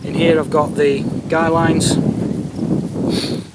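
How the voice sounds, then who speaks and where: Man outdoors in loud wind noise. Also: a little high, flat delivery, drawn-out words. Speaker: bushcraft and radio hobbyist presenter. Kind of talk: casual how-to demonstration to camera. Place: open grassy moorland outdoors.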